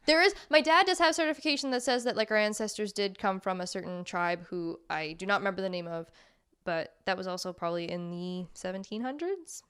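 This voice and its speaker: A woman co-hosting a podcast in casual chat, talking in rambling, chatty runs full of quick asides. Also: mid-range voice, fairly bright tone, clear, resonant tone. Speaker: podcast co-host.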